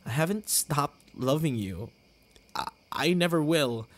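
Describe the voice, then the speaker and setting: A man playing a tender, heartbroken young boyfriend in an intimate ASMR roleplay, with halting, stammering delivery and sighs. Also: mid-range voice, natural voice. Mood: pained, earnest.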